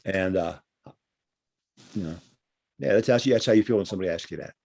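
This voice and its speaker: A man with a somewhat low voice who speaks slowly and thoughtfully in a group discussion. He is heard over a video call. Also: gravelly, darkish tone, a little hiss or hum. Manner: slow, thoughtful.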